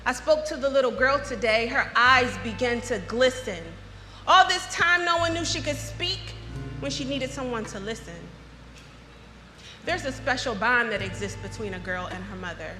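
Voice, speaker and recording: An American woman performing a dramatic spoken-word monologue, with emphatic, poetic phrasing and deliberate pauses. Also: on the high side, bright, forceful tone, soft background music. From United States (Philadelphia). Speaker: storyteller performing an autobiographical monologue. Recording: echoey hall with long reverb.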